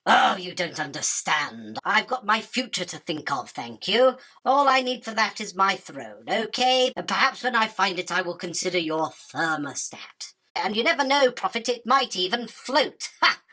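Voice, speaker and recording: Spider queen for radio play, female actor: crisp-edged bright tone, theatrical, self-important and scornful, always hungry. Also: medium pitch, sing-song, normal speed. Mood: haughty, scornful. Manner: imperious, dismissive, self-justifying. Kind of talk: theatrical radio drama acting.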